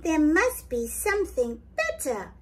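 A woman's voice: sits high, huge pitch swings, unhurried, voice pushed up, exaggerated voice. A woman voicing a whiny little girl princess in a sing-song way. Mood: fussy.

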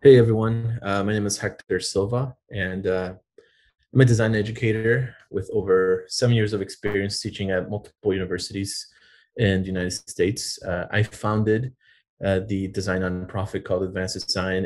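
Deep-ish male educator, formal and earnest, fillers between phrases. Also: a little mellow. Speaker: design educator and program director. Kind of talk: webinar presentation.

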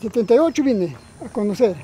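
A man's voice: very high-pitched, animated, fairly quick, warm tone, a little hiss or hum. An older man with a Peruvian accent, recalling the past casually in plain, repetitive phrases. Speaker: villager giving testimony. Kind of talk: casual oral recollection.

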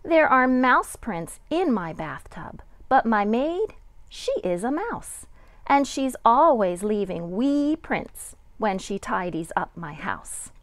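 A middle-aged woman, a kindergarten teacher, reading a picture book aloud to young children with deliberate, sing-song dramatic emphasis. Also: a little high, very animated, no room sound.

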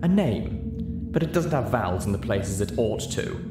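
A man voicing an elegant, ladylike unicorn mare with a refined, gossipy, measured delivery in a story reading. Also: on the low side, big pitch swings, reverberant, background noise. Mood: confiding.

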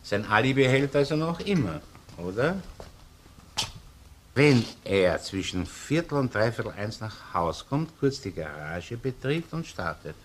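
An actor playing a shrewd middle-aged Viennese chief inspector in a crime drama, thinking a case through aloud. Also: male voice, medium pitch, very animated, unhurried, a little hiss or hum. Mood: pondering. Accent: Austrian, Viennese. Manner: thinking aloud, tags sentences with "ne". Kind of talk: crime radio play acting.

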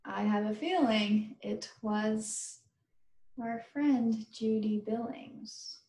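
An American woman in her thirties reading a children's book aloud as a teacher, pausing to explain and ask listeners questions in simple words. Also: a little high, warm tone. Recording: roomy, echoing webcam recording.

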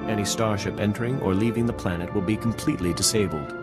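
A man plays a self-assured game scientist. He lectures proudly in a slightly low voice, with loud music behind him. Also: fast talker, close to an everyday voice. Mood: boastful. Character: proud, defensive male military scientist. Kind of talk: video game cutscene acting.

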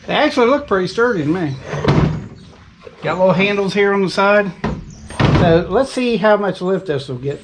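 A man's voice: sits high, very noisy. A man hosting his own channel, casually demonstrating car ramps outdoors in a folksy, plain-spoken, step-by-step way. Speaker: channel host reviewing tools.

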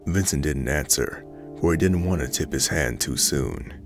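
A man's voice: extremely low pitch, normal speed. A man reading scripted story narration for an audio drama. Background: faint background music.

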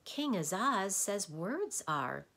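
A woman's voice: mid-range voice, sing-song, slow, fairly bright tone, pitched above the performer's own voice, heavily acted. Lady doing a polite little storybook boy, innocent and full of questions, lilting voice. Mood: polite curiosity.

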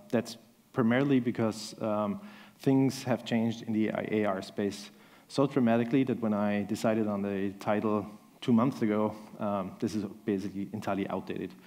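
A man's voice: on the low side, dark tone. A man in his thirties from Austria with an Austrian German accent, giving a chatty, self-deprecating conference talk on a large stage, with many ums. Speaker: conference speaker.

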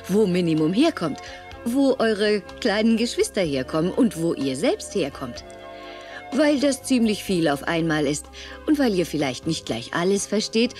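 Low-voiced lively woman narrator. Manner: clear, explanatory storytelling addressed to children. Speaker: narrator of a children's audio play. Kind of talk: scripted narration for children.

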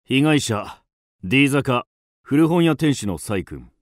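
An actor playing a businesslike police detective in a radio drama, terse and authoritative, with clipped, deliberate delivery. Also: male voice, medium pitch, animated, no echo, studio quality. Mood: stern, clinical.

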